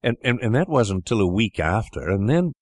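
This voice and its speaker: A man's voice: lowish voice, big pitch swings, normal speed, a little mellow, no echo, clean studio sound, voice pushed up. A man voicing a cheeky older boy who teases bluntly, in an audiobook reading. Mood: eager.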